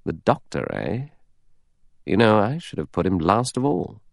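A man's voice: low-pitched, wildly swooping pitch, a little slow, gravelly, no room sound, studio quality, exaggerated voice. A man playing a cool, cynical, self-interested adventurer in an audiobook, nonchalant and wry. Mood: speculative. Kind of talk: audiobook character dialogue.

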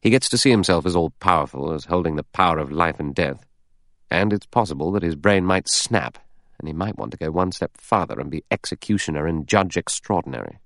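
A man's voice: low-pitched, expressive, brisk, fairly bright tone, no echo, clean studio sound. A man playing a cool, cynical, self-interested adventurer in an audiobook, nonchalant and wry. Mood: speculative. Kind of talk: audiobook character dialogue.

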